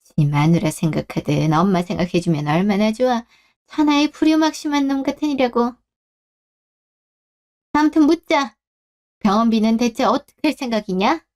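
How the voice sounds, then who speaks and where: A woman's voice: on the high side, normal speed, pauses often, darkish tone, natural voice. An overbearing older mother-in-law from a radio drama, acted by a woman. She scolds and nags in a raised, harsh voice, always ready to take offense. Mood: indignant, scolding. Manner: harsh, nagging, indignant. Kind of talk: Korean radio drama acting.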